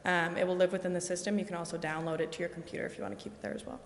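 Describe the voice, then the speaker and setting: A woman presenting at a city council meeting, brisk and explanatory about technical details. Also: on the low side, fairly even intonation. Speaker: presenter for a camera system. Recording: echoey hall, faint noise.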